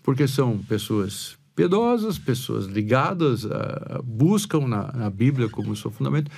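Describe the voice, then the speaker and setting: A man's voice: medium pitch, big pitch swings, unhurried, vocal fry, a little mellow, breathy edge. A Brazilian man, a pastor and podcast guest, telling stories in a rambling way with many restarts. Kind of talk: casual podcast conversation.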